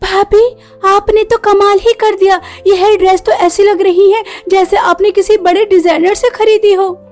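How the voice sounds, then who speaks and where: Woman plays devoted young cartoon bride-to-be, very high, emotional, pleading, music behind. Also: steady tone, unhurried, a little mellow. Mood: delighted. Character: grateful, devoted young bride-to-be sisters-in-law. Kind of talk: cartoon story dialogue.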